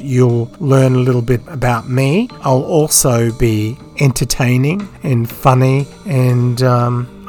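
A man hosting a solo podcast, chatting off the cuff with a croaky, hoarse voice, rambling with many fillers and self-deprecating asides. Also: mid-range voice. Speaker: podcast host. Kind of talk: unscripted solo podcast chat. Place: home, isolating. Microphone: phone.

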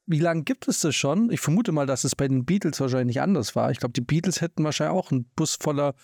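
A man's voice: a little high, expressive, fast, darkish tone, no echo, clean studio sound. A man co-hosting a casual podcast, posing reflective questions. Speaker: podcast co-host.

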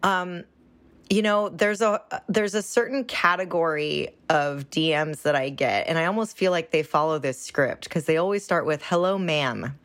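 Woman, raspy husky voice, very low, dry close sound, candid guest. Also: normal speed, no echo. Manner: candid, laughs easily. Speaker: podcast guest, intimacy coach. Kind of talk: casual chat.

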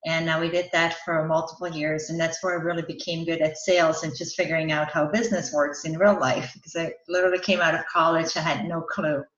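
A woman's voice: deep voice, flat delivery, fast talker, hardly any pauses, a bit bright. A woman from Austria, a parenting coach, explaining her work earnestly as a podcast guest. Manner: earnest, explanatory, laughs between points. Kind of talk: interview answers. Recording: roomy, dull lo-fi sound.

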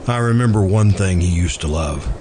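An actor playing an earnest, hesitant man in love in an old-time radio drama, speaking deliberately and wistfully. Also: sits low, animated, background noise. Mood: wistful, confiding. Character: earnest man in love with best friend's widow.

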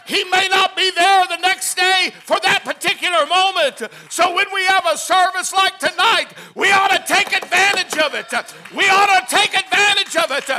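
A man preaching a Pentecostal sermon, swinging from hushed, quick asides to shouted hallelujahs. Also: extremely high pitch, steady tone. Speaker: pastor. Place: church.

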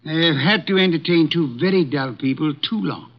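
An actor playing a fussy, imperious detective who speaks in precise, haughty pronouncements, in 1950s radio drama style. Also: male voice, fairly high pitch, normal speed, lightly acted. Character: fussy, imperious male detective, older and portly. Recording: dull lo-fi 1950s radio broadcast.

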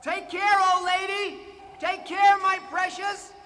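An actor playing a giddy, sentimental bridegroom in a comic radio drama, calling out gushingly from afar. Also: male voice, very high voice, flat delivery, slow pace, bright, forceful tone, slight background noise, heavily acted. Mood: fond, calling out. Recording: reverberant hall, distant voice.

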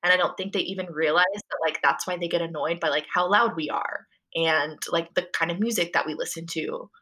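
Chatty young woman with a bright voice. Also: on the low side, steady tone, fairly quick. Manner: chatty, frequent "like" and "um" fillers. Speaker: podcast guest. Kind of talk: casual interview chat.

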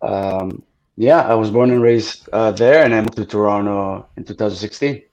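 A man's voice: lowish voice, moderate pace, a little mellow, highs cut off. A man from Italy, a native Italian speaker, talking as a guest musician in an interview, hesitant and full of fillers as he searches for words. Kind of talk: casual interview.

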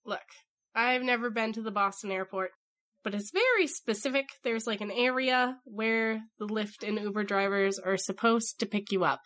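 A woman's voice: mid-range voice, moderate pace, a bit bright. A woman co-hosting a podcast, chatting casually with dry, matter-of-fact asides. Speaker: podcast co-host. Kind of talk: casual chat.

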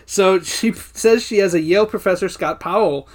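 A man's voice: sits high, a little fast. A man co-hosting a podcast, recapping episodes in a loose, profane way and laughing often. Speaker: podcast co-host. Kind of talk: casual recap chat.

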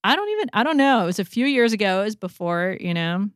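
A young adult woman co-hosting a casual podcast, chatty and quick to laugh, often trailing off mid-sentence. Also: mid-range voice, big pitch swings, no room sound, studio quality. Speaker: podcast co-host.

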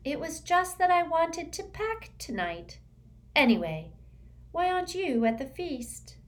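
A woman's voice: extremely high pitch, sing-song, a little slow, pitched above the performer's own voice, full character voice. A woman reading an audiobook, voicing a dreamy, unworldly teenage witch girl who speaks in an airy, matter-of-fact way.

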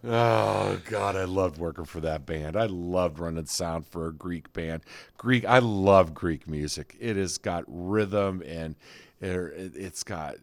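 A man's voice: low-pitched, slow pace, creaky, no echo. A middle-aged man co-hosting a podcast, telling fond, rambling stories in casual chat. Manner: rambling storyteller, fond and nostalgic. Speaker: podcast co-host.

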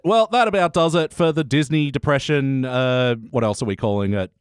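A man hosting a casual, jokey review podcast in an animated way. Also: mid-range voice, fairly quick, smooth, dry recording, studio quality. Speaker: podcast host. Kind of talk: casual comedic review chat.

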